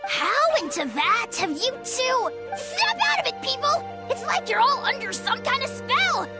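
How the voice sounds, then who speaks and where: A woman voicing a small, bratty, excitable dragon creature in a video game, blunt and exclaiming in drawn-out squeals. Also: very high-pitched, over loud music, full character voice. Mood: excited, urgent. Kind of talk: video game character acting.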